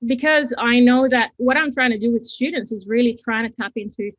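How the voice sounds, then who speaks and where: A woman's voice: on the high side, steady tone, a little fast, a bit bright, dry recording, highs cut off. Costa Rican woman, earnest, dry sound. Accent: Costa Rican Spanish. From Costa Rica. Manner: earnest, with many fillers. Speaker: educator and business founder. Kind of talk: guest telling her story in an interview.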